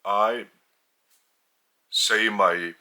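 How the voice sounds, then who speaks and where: A man with a Lithuanian accent giving a spiritual teaching in a deliberate, halting way, with long pauses between phrases. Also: on the low side, fairly even intonation, sharp tone.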